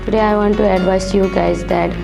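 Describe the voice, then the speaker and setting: A woman's voice: mid-range voice, level tone, a little fast, creaky. A young woman from Nepal with a Nepali accent, giving prepared advice as a student. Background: guitar music.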